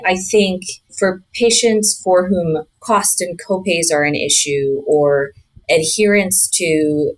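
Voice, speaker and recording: A woman with a somewhat low-pitched voice speaks as a medical researcher being interviewed. She explains things in a measured, articulate manner and is comfortable with clinical vocabulary. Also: low-quality recording. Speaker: physician researcher interviewed as guest.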